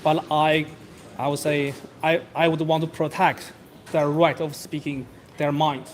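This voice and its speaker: A young man from abroad, answering a campus street interview with halting, earnest speech full of restarts. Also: a little high, level tone, a little hiss or hum. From outside Canada.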